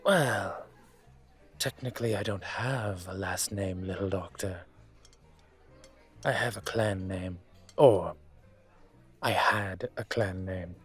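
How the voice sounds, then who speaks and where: Man playing a slow, husky, suave mafia boss. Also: on the low side. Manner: slow, deliberate, teasing. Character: suave male dragon mafia boss in human guise, flirtatious, commanding. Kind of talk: roleplay audio acting, intimate.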